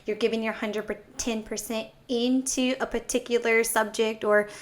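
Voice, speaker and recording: A woman's voice: a little high, flat delivery, normal speed. A woman in her twenties from Texas, a podcast guest talking casually and upbeat, rambling a bit and laughing often. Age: 20s. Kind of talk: casual conversation.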